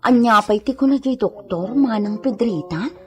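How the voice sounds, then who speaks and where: An actress with a Filipino accent playing an earnest, deferential young servant with a husky voice in a radio drama. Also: female voice, a little high, moderate pace, a little mellow, soft background music, lightly acted. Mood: concerned.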